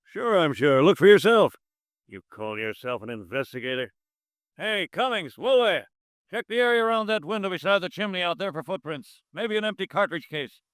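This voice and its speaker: A man's voice: high-pitched, expressive, normal speed, a bit bright, dry recording, studio quality. An actor playing an officious young male police sergeant in a 1950s radio drama, brusque and dismissive. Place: studio.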